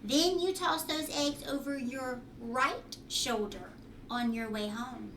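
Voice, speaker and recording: Lady doing a scolding old country granny from a kids storybook, folksy, high voice, echoey, off the mic. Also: takes it slowly, fairly bright tone, heavily acted. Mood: scolding, instructing. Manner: folksy, admonishing. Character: mysterious old country woman, knowing and scolding. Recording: roomy home recording, distant mic, faint noise.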